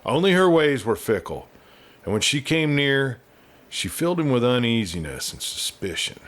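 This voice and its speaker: A man's voice: medium pitch, big pitch swings, moderate pace, a bit bright. Man narrating a western tale expressively. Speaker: audiobook reader and show host.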